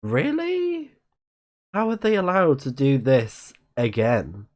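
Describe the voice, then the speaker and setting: A man's voice: mid-range voice, huge pitch swings, slow, darkish tone. A man casually commentating on a video game as a live-streaming host, rambling in chatty asides, his nose a little blocked up. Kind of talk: casual game commentary, reading in-game messages aloud.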